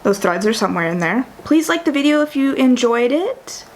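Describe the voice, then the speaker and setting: A woman's voice: on the high side, big pitch swings, a little fast. A young woman talking casually in a vlog, chatty and enthusiastic, with frequent restarts and fillers. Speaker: book vlogger.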